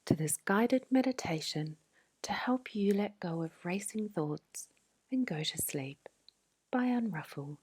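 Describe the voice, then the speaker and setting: A woman whispering a guided sleep meditation in a soft, breathy voice, slow and soothing. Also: medium pitch, lively intonation.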